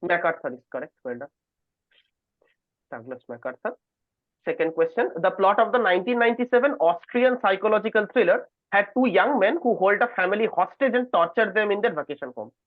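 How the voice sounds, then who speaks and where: A man with a noticeably high-pitched voice hosts a quiz over a narrow, phone-like call. The sound is dry, without room echo. He reads questions at length and moderates with informal asides. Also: expressive, brisk. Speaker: quiz host.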